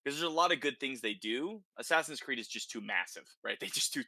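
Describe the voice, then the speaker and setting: A man speaks as a podcast host in relaxed game talk. His mid-range voice is very bright and forceful, recorded dry. He is conversational and often tags his points with a quick check for agreement. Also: medium pitch, dry recording. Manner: conversational, checks in with tag questions. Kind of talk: casual video game discussion.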